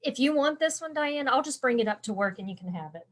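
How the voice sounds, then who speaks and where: A woman with a congested, stuffy voice hosts a relaxed live stream as an embroidery instructor. She talks in a chatty, rambling way and answers viewers by name. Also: sits high, fast talker.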